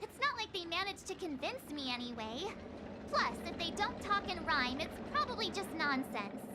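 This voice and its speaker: A woman's voice: very high voice, very animated, normal speed, heavy background noise. An actress playing an earnest, flustered young woman in a video game. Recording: game audio with loud music.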